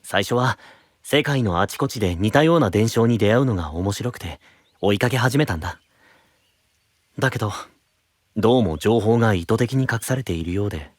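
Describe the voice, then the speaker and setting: An actor playing a calm, gentle, secretive young knight, speaking in a soft, measured, reflective way in an anime-style audio drama. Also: male voice, fairly low pitch, dry recording, clean studio sound.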